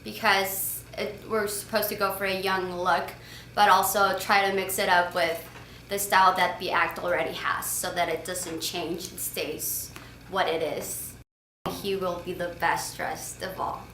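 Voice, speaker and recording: A woman's voice: lowish voice, level tone, measured pace, fairly bright tone. A woman studying fashion design, explaining her styling plan in an earnest interview. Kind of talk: interview to camera. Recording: echoey room with faint noise.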